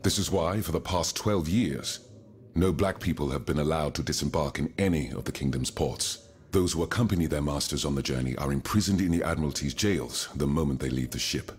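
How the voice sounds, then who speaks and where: A man's voice: a bass voice, fairly quick, slightly rough. A man playing a proud, earnest, wealthy colonial reformer in a period game drama, speaking formally and eloquently, with gravity. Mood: solemn, explanatory.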